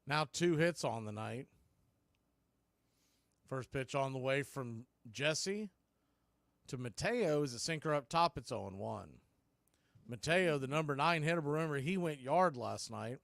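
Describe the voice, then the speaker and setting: A man's voice: medium pitch, animated, measured pace, gravelly, long pauses, a bit bright, dry recording, studio quality. A man hosting live baseball play-by-play, giving punchy calls and folksy, opinionated commentary. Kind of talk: live radio sports commentary.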